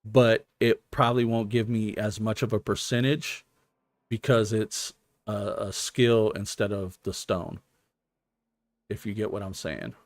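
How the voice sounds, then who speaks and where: Slow-talking man streaming a game. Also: on the low side, steady tone, no echo. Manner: thinking out loud, explanatory, hesitant. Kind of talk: casual gaming stream commentary.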